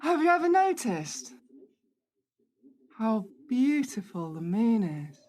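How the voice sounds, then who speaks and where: A woman's voice: fairly high pitch, big pitch swings, slow pace, background noise. An actress playing a defiant young woman in a radio play, speaking in a dry, guarded way. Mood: casual, secretive. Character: wry, defiant young woman, survivor of monster attack.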